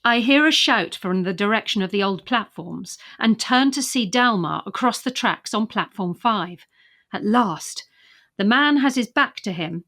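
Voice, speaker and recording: A woman's voice: medium pitch, fairly bright tone. A British woman co-hosting a podcast, moving between chatty presenting and scripted reading. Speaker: podcast co-host.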